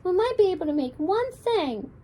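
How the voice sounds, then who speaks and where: A woman's voice: big pitch swings, moderate pace, dark tone, a little hiss or hum. A woman voicing a clever, stubborn young girl rival in a storybook reading. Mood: insistent. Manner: high, insistent storybook voice.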